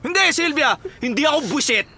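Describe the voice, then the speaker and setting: A man's voice: very high voice, expressive, fairly quick, bright, forceful tone, exaggerated voice. A man playing an exasperated, impatient policeman in an audio drama, huffy and whining. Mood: fed up. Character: exasperated, impatient policeman caught up with his mistress. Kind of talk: Filipino radio-style drama acting.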